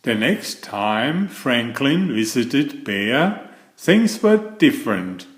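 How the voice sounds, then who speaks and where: A man narrating a children's story in an expressive, deliberate way for young listeners. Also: medium pitch, roomy sound. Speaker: storybook reader and children's author.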